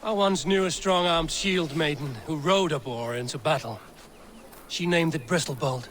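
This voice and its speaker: Man playing a steady Viking raider leader, higher-pitched. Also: slight background noise, lightly acted. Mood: wary, measured. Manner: measured, formal archaic phrasing. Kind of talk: dramatic video game cutscene acting.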